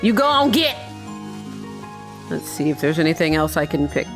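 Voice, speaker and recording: Animated woman gamer chatting over music. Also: deep voice, moderate pace, rough recording. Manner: playful, irreverent, chatty. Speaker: gamer host of a playthrough. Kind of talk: casual game commentary.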